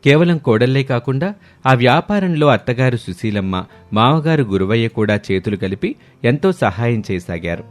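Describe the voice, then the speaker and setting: A man's voice: mid-range voice, animated, normal speed, soft background music. A man narrating a scripted moral story in the manner of a storyteller.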